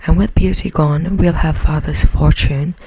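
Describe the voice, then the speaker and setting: Jealous storybook sister, played by a woman; sly, scheming, slow husky whisper. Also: low voice, fairly even intonation, warm tone, no room sound, full character voice. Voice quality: breathy whisper. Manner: sly, complaining. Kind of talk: whispered bedtime storybook acting.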